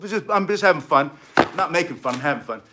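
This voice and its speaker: Energetic man teaching self-defense. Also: on the high side, fast talker, very noisy. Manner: energetic, chatty, punchy short phrases. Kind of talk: casual instructional demonstration.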